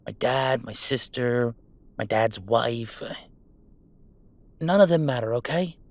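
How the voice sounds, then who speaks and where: A man's voice: medium pitch, slow, no echo. A man playing a goofy young werewolf streamer in an intimate roleplay audio drama, talking in casual, mock-grandiose banter with small hesitations. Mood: defiant, resolute. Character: goofy young male werewolf streamer, playful, defiant about family. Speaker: game streamer.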